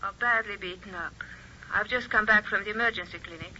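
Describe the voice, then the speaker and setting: An actress with a Slavic accent playing a warm, earnest miner's wife in a 1950s radio drama, on a lo-fi old radio recording. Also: female voice, medium pitch. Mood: worried. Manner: soft, earnest, slow.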